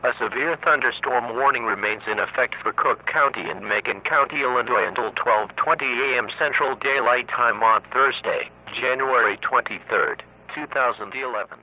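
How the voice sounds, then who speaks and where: A man reading scripted emergency weather warnings in a formal, monotone synthetic voice. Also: mid-range voice, normal speed, hardly any pauses. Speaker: emergency alert announcer. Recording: muffled lo-fi radio broadcast, faint noise.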